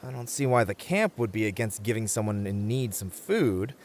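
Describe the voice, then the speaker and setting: Man playing a lively, wry bunny-man monster tracker. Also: fairly low pitch, normal speed, natural voice. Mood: explaining. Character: muscular bunny man camper, sensible, easily flustered monster tracker. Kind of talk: comedic audio roleplay acting.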